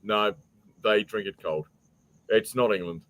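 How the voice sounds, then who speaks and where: A man's voice: fairly low pitch, a little slow, fairly bright tone. A man hosting a casual quiz among friends, bantering bluntly and often repeating words as he starts. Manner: teasing, blunt, stammering repeats. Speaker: show host running a quiz.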